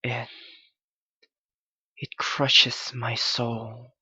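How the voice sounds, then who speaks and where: A clingy, wounded young male yandere, performed by a man pours out his heartbreak in an intimate ASMR roleplay. His voice is breathy and rough, and he speaks extremely slowly, halting and pleading. The recording is dry and slightly muffled. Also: medium pitch, flat delivery, bright, forceful tone, no echo, heavily acted. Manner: slow, halting, pleading, anguished delivery.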